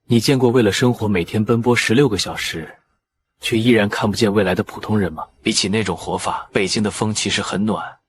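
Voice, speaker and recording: An actor playing a sardonic, world-weary young prince in an animated fantasy drama, with wry, unhurried asides. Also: male voice, fairly low pitch, close to an everyday voice. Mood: wry, calm. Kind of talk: Chinese animated fantasy drama acting with inner monologue.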